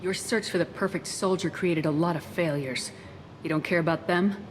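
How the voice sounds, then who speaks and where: Woman as a low-voiced, composed military commander. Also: slight background noise, close to an everyday voice. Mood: firm, dry. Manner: measured, matter-of-fact. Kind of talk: video game voice acting. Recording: music behind the voice.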